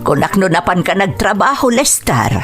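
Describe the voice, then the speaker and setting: A woman's voice: medium pitch, big pitch swings, normal speed, natural voice. A woman acting in an Ilocano radio drama as a reproachful but caring mother of a grown son, with an Ilocano accent and music underneath. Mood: scolding, concerned.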